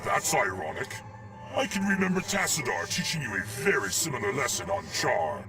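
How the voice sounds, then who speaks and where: Proud alien knight type for a game, grave n formal, dramatic swoops, music behind. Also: male voice, mid-range voice, huge pitch swings, moderate pace, far from the mic. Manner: formal, grave, archaic diction. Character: noble, solemn alien warrior, proud and defiant. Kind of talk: dramatic video game voice acting.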